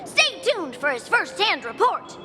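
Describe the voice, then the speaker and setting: A woman's voice: huge pitch swings, unhurried, pushed and bright, soft background music, heavily acted. A woman voicing a peppy, scheming little creature who works for a gossip magazine, eager and fawning. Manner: eager, fawning, sales-pitch chatter.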